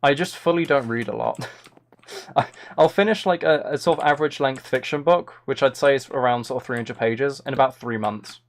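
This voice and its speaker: A man's voice: mid-range voice, moderate pace. A young man chatting casually while he streams a game, rambling and self-deprecating into a close mic. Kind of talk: casual live-stream chat while gaming.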